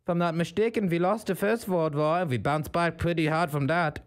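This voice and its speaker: Smug German comic character, played by a man: German accent, raised pitch, proud, matter-of-fact, darkly witty. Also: fairly even intonation, brisk, lightly acted.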